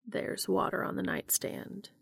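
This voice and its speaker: A woman voicing a calm, steady male angel who speaks low, hushed and measured, softly insistent. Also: creaky, breathy edge, exaggerated voice.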